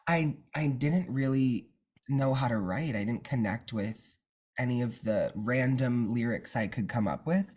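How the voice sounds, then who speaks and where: A nineteen-year-old man from Minnesota, a singer guest, talking in a bubbly, animated way over a remote link. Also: medium pitch, a little slow. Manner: animated, bubbly, breathy with laughs. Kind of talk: casual interview. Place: remote call, not in studio.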